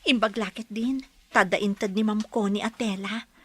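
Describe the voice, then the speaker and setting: A woman's voice: medium pitch, steady tone, moderate pace, slightly rough, close to an everyday voice. A woman with an Ilocano accent playing a polite, grateful boutique owner in a radio drama. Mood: grateful, hopeful. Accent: Filipino, Ilocano.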